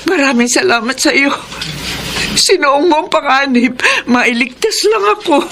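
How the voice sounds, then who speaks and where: Upset mom in a radio soap, high pleading voice, really up and down, noisy recording. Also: female voice, animated, a little slow. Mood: grateful, shaken. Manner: plaintive, pleading. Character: anguished, loving mother pleading with wayward son. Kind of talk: melodramatic radio drama acting.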